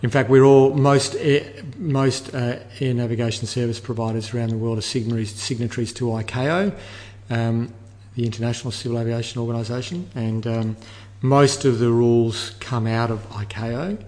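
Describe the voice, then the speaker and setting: An older man giving measured, explanatory answers as an interview guest, repeating words as he thinks. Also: mid-range voice, steady tone, darkish tone. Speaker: interview guest, air traffic control manager. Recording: long reverberant room echo.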